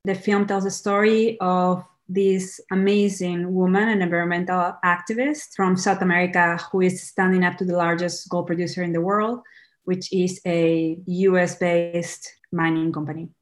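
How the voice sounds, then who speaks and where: A woman's voice: on the low side, level tone, normal speed. Earnest woman film director. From Peru. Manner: earnest, restarts words, thinks aloud. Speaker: documentary film director, guest. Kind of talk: podcast interview.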